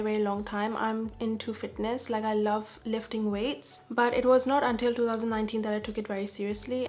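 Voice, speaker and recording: A young woman from Dubai, calm and soft-spoken, answering viewer questions in a casual beauty vlog. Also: on the high side. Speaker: beauty vlogger.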